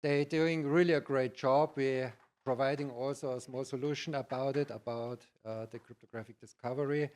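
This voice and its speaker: A middle-aged or older man with a Bavarian German accent, giving a conference presentation in halting, non-native English. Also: mid-range voice, steady tone, a little mellow. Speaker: conference speaker, IT practitioner.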